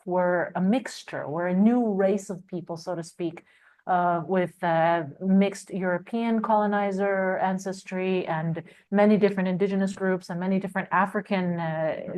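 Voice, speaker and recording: A woman's voice: mid-range voice, darkish tone. A woman from Colombia, a storyteller talking informally and reflectively about her craft.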